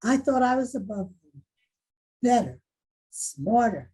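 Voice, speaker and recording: An actress playing a proud but softening school principal, probing and candid in a dramatic table read, with a husky voice. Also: female voice, a little high, big pitch swings, slow, heavily acted, poor audio. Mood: remorseful.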